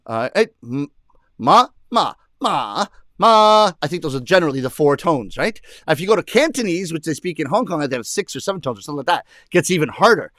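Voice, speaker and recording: A man performing comedy, telling stories to an audience in English, his first language, and shouting out the voices of the Japanese ladies he meets. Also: on the high side, no echo, clean studio sound. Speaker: rakugo comedian. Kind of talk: stand-up storytelling to an audience.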